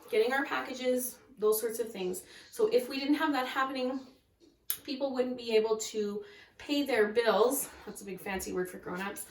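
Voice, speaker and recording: High-voiced woman teacher in an echoey room. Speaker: kindergarten teacher. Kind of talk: children's lesson and storybook read-aloud. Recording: echoey room, far from mic.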